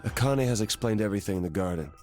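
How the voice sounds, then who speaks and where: Game role for a man: deep, measured, puzzled sleeper, quietly questioning, light score behind. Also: lively intonation, close to an everyday voice. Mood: puzzled, probing. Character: puzzled, soft-spoken man just woken, doubting who he is. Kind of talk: video game voice acting. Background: game music.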